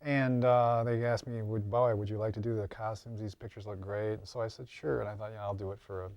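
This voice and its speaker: Low, flat-voiced man explaining in halting phrases. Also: dull audio. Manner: halting, repeats and rephrases himself. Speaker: interviewed costume and prop maker. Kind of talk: informal interview explanation.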